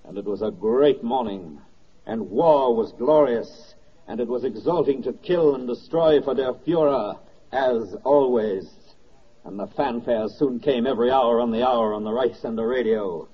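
An actor playing a grave, bitterly ironic wartime narrator in a 1940s radio drama, speaking in measured, declamatory phrases. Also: male voice, medium pitch, slightly rough. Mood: ironic grandeur. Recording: dull vintage 1940s radio recording, music behind. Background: music.

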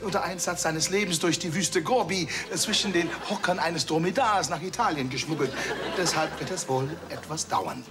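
A man's voice: fairly high pitch, normal speed, few pauses, a crowd in the background, lightly acted. A man playing a cheeky, chatty waiter who sprinkles in Italian phrases in a TV comedy sketch. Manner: lively sing-song delivery, Italian phrases. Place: restaurant studio set. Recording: music and studio audience laughter.

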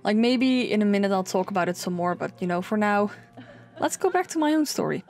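A woman's voice: expressive, brisk, darkish tone, soft background music. A young woman chatting casually over a build, rambling with lots of fillers. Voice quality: low singing voice. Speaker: sims speed builder.

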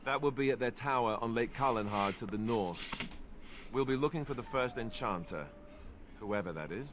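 A man's voice: mid-range voice, normal speed, close to an everyday voice. An actor playing a deferential young male warrior in a video game, with a wry, conversational manner. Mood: informative. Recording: clear background ambience noise.

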